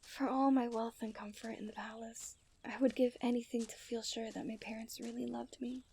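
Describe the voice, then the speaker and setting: Woman acting a mellow, genteel fairytale princess. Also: on the high side, level tone, normal speed. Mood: tender, soft. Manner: formal, genteel fairytale phrasing with breathy sighs. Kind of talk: scripted romantic ASMR roleplay.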